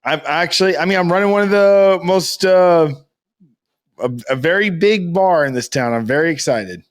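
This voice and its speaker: A man's voice: sits high, moderate pace. A man co-hosting a podcast, chatting informally in loose, slangy language. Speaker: podcast co-host. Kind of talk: casual chat.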